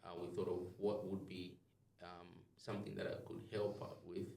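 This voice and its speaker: A man's voice: low-pitched, little pitch movement, normal speed, creaky, dark tone, far from the mic. A man from Zambia, a podcast guest chatting casually and rambling with many ums and restarts. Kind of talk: casual interview chat. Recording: echoey room, off-mic.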